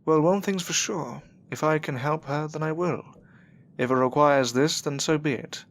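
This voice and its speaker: A man's voice: fairly high pitch, moderate pace, natural voice. An actor playing a polite, anxious young man with a hesitant manner in an audio drama. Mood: sombre resolve. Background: music.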